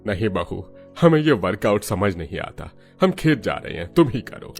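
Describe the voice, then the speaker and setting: A man's voice: fairly low pitch, very animated, moderate pace, faint music behind. A man playing a plain-spoken, easygoing village farmer in a scripted cartoon. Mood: polite refusal.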